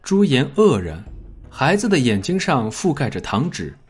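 A man narrating a scripted dramatic story in an expressive storytelling manner. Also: mid-range voice, moderate pace, husky. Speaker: audiobook narrator.

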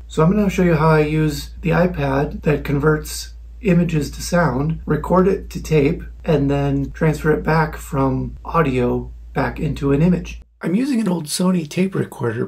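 A man in his forties with a husky, slightly gravelly voice, narrating an explanatory tutorial. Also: fairly high pitch, darkish tone. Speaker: video maker presenting a tutorial.

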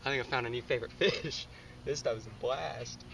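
A young man, excitedly talking to the camera as a fishing vlogger while outdoors on a pond bank, often breathless and laughing. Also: medium pitch, fairly quick, gravelly. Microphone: camera on a tripod some distance away. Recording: distant camera mic with outdoor noise.

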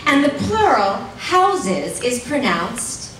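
A woman's voice: a little high, very animated, slow pace, fairly bright tone. A middle-aged woman giving a theatrical, mock-indignant dramatic reading on a stage, stretching out words for effect. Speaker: stage performer reading letters. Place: large event studio stage with audience. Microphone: stand mic, recorded from far camera. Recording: echoey hall, distant mic, room noise.

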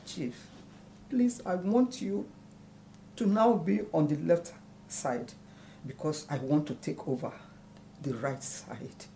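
A woman's voice: low-pitched, takes it slowly, vocal fry, long pauses, dark tone, a little hiss or hum, heavily acted. An actress playing a wounded, proud middle-aged mother in a film melodrama, speaking emphatically. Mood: pleading, respectful. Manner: emphatic, declamatory. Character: wounded, proud elder mother scolding her son. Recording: music under the dialogue.

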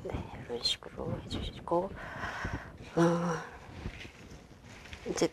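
한 중년 여성 꽃꽂이 강사가 실내 스튜디오에서 작업을 하며 설명하고, 말끝에서 자주 망설이며 혼잣말처럼 속삭이듯 말해요. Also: female voice, fairly low pitch, noisy background. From Korea. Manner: unhurried, talks while working, many fillers and half-whispered asides. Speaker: flower arrangement instructor. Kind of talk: demonstration lesson while working. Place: indoor studio with a flower table.

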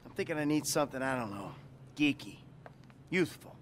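An actor playing a weary, cynical middle-aged American bank robber in a video game, terse and dry. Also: male voice, a little high, expressive, brisk, background noise, natural voice. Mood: dry, evasive. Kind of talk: video game cutscene acting.

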